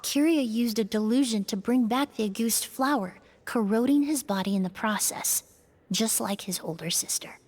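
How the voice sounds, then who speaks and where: An actress playing a calm, analytical investigator in a video game, speaking in a measured, articulate, explanatory way. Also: female voice, fairly high pitch, close to an everyday voice. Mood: calm, deductive. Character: composed, analytical female perfumer investigator, calmly deducing. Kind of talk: scripted video game dialogue.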